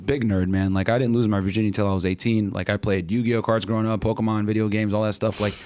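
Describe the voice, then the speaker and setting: Fast-talking man in his thirties. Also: fairly low pitch, little pitch movement, a little mellow. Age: 30s. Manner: rapid, filler-heavy storytelling with acted-out quotes. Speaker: podcast co-host, guest. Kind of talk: casual interview talk.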